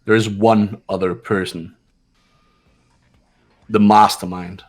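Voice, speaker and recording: Low, commanding young male student, acted by a man. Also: level tone. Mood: stern, reasoning. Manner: composed, authoritative, deliberate. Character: cool, commanding, analytical teenage male student. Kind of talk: fan-voiced visual novel dialogue.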